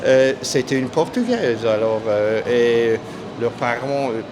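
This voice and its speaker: A man's voice: medium pitch, lively intonation, takes it slowly. An older man with a Swiss German accent answers a street interview hesitantly, searching for words in a language that is not his first. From Switzerland. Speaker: passer-by being interviewed. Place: outdoors on a city street. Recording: noisy outdoor street with traffic.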